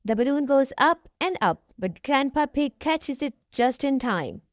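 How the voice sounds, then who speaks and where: A woman's voice: high voice, animated, a little slow, no room sound, lo-fi sound. A woman reading a storybook aloud expressively for young children, speaking to them directly as the host.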